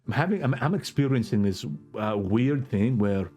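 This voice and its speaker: A man's voice: medium pitch, animated, normal speed, warm tone, faint music behind. A man from Cyprus with a Greek Cypriot accent, giving a fervent, preachy political monologue to his own camera and repeating words for emphasis. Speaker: vlogger. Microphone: self-recorded camera setup.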